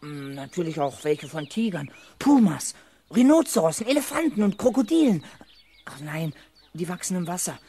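A man's voice: high-pitched, big pitch swings, normal speed, mellow. A precocious little schoolboy in a children's radio play, full of big plans and very sure of himself. His delivery is matter-of-fact and earnest, on a slightly noisy old recording. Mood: eager, scheming. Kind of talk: 1970s German children's radio play acting.

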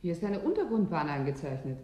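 An actress playing a blunt, questioning woman newcomer in a radio drama, direct, probing and expressive. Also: on the low side, fast talker. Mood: businesslike. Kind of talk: German science-fiction radio drama acting.

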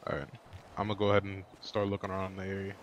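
A man's voice: low-pitched, completely flat delivery, racing, slight background noise, lightly acted, rough recording. A man role-playing a businesslike police officer in an online game.

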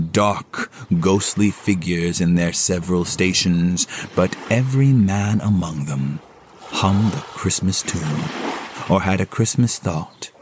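A man's voice: low voice, noisy background. A man narrating a classic novel as dramatic, scripted storytelling, with a theatrical and solemn delivery. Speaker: narrator, solo performer.

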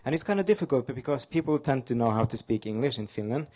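A man's voice: medium pitch, lively intonation, quick pace, darkish tone. A man from Norway, whose first language is Norwegian, giving an upbeat slide talk at a conference with touches of self-deprecating humor. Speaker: conference speaker. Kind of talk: fast-paced slide talk. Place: conference hall.

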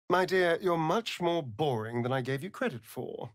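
Flamboyant british vampire for a video game, vain and sardonic, breathy, very lively pitch, dry close sound. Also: male voice, slow pace, no room sound, heavily acted. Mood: seductive, teasing. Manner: arch, drawling, flamboyant. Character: vain, sardonic, immortal male vampire rogue. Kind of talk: theatrical fantasy video game acting.

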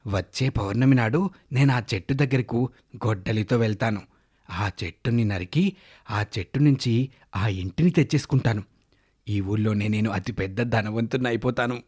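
A man's voice: fairly low pitch, moderate pace, a little mellow, slightly rough. Man playing a sly, greedy gold merchant. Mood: scheming. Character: greedy, scheming gold shop owner. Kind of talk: dramatised moral storytelling.

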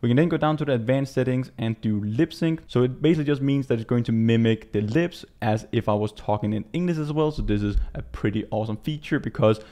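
A man in his twenties from Denmark with a Danish accent, giving a casual software walkthrough as a native Danish speaker. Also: mid-range voice, fast talker, hardly any pauses. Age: 20s. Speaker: tutorial presenter. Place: small indoor room.

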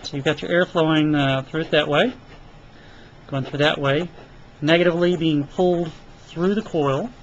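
A man explaining HVAC airflow in a casual, halting way while he draws diagrams, with lots of fillers. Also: fairly high pitch, fairly even intonation, highs cut off, a little hiss or hum. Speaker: HVAC technician. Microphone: camera filming paper close up.

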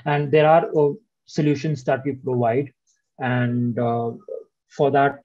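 Male presenter on a webinar call, warm tone, muffled call audio, lots of "uh"s. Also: mid-range voice, level tone, takes it slowly. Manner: frequent "uh" and "right?" tags. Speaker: senior product manager presenting. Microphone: video call.

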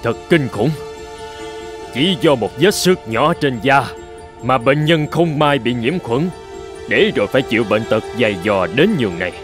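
A man acts out a middle-aged cartoon professor of medicine, earnest and hopeful. He speaks at a medium pitch in measured, solemn phrases, with music playing behind him. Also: expressive, lightly acted. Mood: grave concern. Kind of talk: dramatic animated-film dubbing.

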